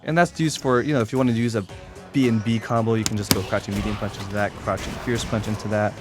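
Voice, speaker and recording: Low-voiced man giving a casual gaming tutorial. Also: steady tone, quick pace, music in the background. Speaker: competitive gamer demonstrating a character. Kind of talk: unscripted fighting game tutorial commentary.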